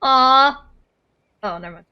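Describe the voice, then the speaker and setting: A woman's voice: high-pitched, lively intonation, slow, clean tone, highs cut off. A woman streaming a video game with casual, playful commentary, blunt and full of exclamations. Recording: game music behind the voice. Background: game music.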